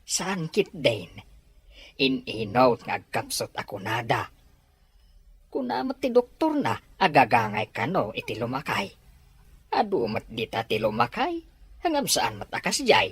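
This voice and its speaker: A performer playing a weary, ailing old person in an Ilocano audio drama, with a husky, low voice. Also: huge pitch swings, measured pace, fairly bright tone, exaggerated voice.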